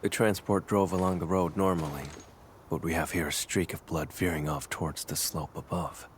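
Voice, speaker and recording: A male actor plays a brooding vampire investigator from a video game. His voice sits very low, and he speaks in clipped, deliberate phrases with grave restraint. Faint ambient noise runs behind him. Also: steady tone, close to an everyday voice. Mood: watchful, analytical. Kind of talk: scripted game dialogue.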